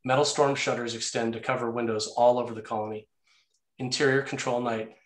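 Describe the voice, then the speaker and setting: A man's voice: medium pitch, flat delivery, a little fast. Echoey male screenplay narrator. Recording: roomy, echoey sound.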